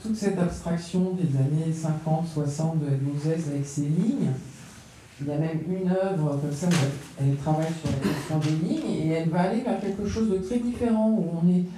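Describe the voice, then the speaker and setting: A woman's voice: sits low, normal speed, mellow, slight background noise. A woman speaking as a panelist at a round-table discussion, talking in a hesitant way with many fillers and restarts. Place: gallery room. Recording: echoey room, far from mic.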